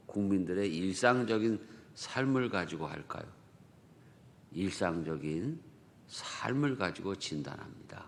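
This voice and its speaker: Korean pastor with Chungcheong accent, reverberant hall. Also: male voice, deep voice, a little hiss or hum. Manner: conversational preaching, rhetorical questions, dialect jokes. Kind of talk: dawn prayer sermon. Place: church sanctuary. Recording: long church hall reverb.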